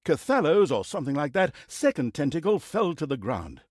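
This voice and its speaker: A sardonic male storyteller narrates a comic fantasy game with mock grandeur. His fairly high voice swings widely in pitch as he slips in teasing asides, and the sound is dry and close. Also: big pitch swings, fairly quick, darkish tone, no room sound. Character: wry, mocking male storyteller of an evil fantasy campaign.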